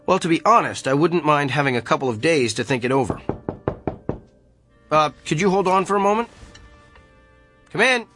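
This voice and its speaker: A man's voice: a little high, lively intonation, normal speed, pauses often, music in the background, lightly acted. An actor playing a pompous, defensive male doctor with an inflated view of his gift, in a radio drama. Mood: irritated, curt. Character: arrogant, self-important gifted male doctor.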